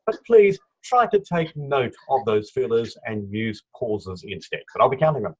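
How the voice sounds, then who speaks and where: A man's voice: medium pitch, expressive, moderate pace, husky, poor audio. A man explaining his filler-counting role at an online meeting, formal and wry. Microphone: video call.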